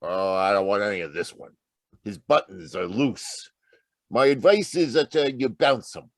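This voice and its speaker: A man's voice: on the low side, normal speed, no echo, clean studio sound. A man playing a shady, pushy private eye, chummy and slangy, in 1940s radio drama style. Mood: slippery, coaxing.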